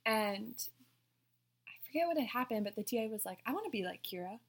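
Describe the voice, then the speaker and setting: A young woman with a soft voice, co-hosting a podcast and chatting casually with her friends, telling relaxed stories between giggles. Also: a little high, brisk. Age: young adult. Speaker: podcast co-host. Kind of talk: casual chat among friends.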